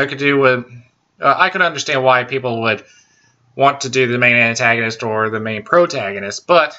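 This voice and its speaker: A man hosting a casual solo show, talking slowly with lots of ums, uh's and restarts, in a baritone voice. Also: bright, forceful tone, highs cut off. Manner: slow and halting, many ums and restarts. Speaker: podcast host.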